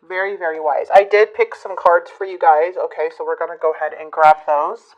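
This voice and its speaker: A woman giving a casual tarot reading to her viewers, musing and hesitant, her voice a bit hoarse from a recent illness. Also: fairly low pitch, fairly even intonation, fairly quick, lo-fi sound. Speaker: tarot reader.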